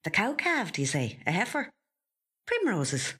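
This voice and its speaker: A woman's voice: mid-range voice, huge pitch swings, unhurried, fairly bright tone, slightly rough, pitched above the performer's own voice, exaggerated voice. A woman actor voicing a frail, obsessive wife with a fretful, inward, muttering manner in a short story. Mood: distracted, groping.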